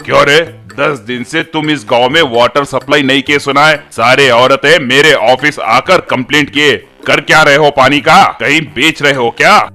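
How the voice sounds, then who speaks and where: A man's voice: medium pitch, normal speed, hardly any pauses, pushed and bright, soft background music. A man voicing a bossy authority figure in a comedy story cartoon, blunt and commanding. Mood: stern, scolding. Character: bossy male authority, gang chief or stern town officer. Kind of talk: Hindi comedy moral-story cartoon dialogue.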